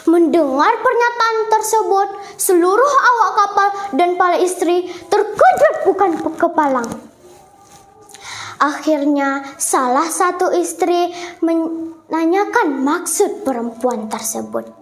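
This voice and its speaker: A woman's voice: very high-pitched, expressive, takes it slowly. A young female storyteller telling a folk tale in a dramatic style. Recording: echoey room.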